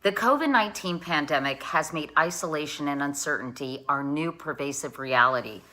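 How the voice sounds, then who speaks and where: A woman presenter reading a scripted link into a video segment in a clear announcing way. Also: deep voice, normal speed, pushed and bright.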